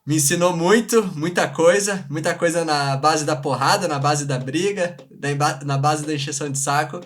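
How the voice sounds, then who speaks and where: A man with a Brazilian accent hosting a casual livestream chat, informal and slangy, often repeating himself. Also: on the high side. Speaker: live show host. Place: remote video live stream from separate location.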